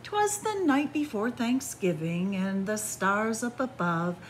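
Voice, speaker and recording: A middle-aged woman reading a picture book aloud to children in an animated, deliberate storytelling manner. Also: mid-range voice, a little hiss or hum, rough recording. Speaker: library story-time reader. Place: indoors in a library among bookshelves.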